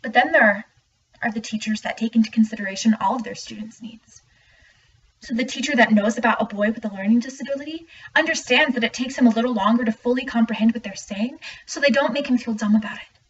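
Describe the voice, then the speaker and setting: Earnest high-voiced student, acted by a young woman. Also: fast talker, close to an everyday voice. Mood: earnest, hopeful. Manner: earnest, emphatic. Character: earnest student speaking out about teachers. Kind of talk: scripted dramatic monologue. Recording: muffled, distant mic, faint noise.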